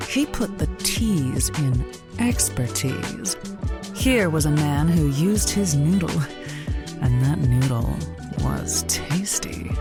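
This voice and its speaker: Casting: arch, seductive late-night radio hostess, lowish voice, theatrical and witty, audio comedy. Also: female voice. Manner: arch, innuendo-laden, theatrical. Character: sultry, witty woman radio host of a love advice show. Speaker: radio call-in show host. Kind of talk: scripted audio comedy acting.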